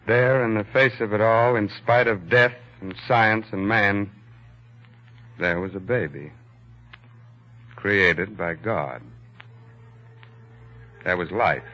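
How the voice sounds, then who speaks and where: An actor playing an earnest, reflective ship's doctor, narrating solemnly and deliberately in a 1940s radio drama. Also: male voice, lowish voice, bright, forceful tone. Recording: muffled vintage 1940s radio recording.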